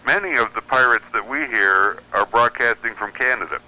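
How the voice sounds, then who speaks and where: A man's voice: medium pitch, moderate pace, bright, forceful tone, rough recording. A man chatting and explaining as a guest in a casual radio interview, with a wry manner.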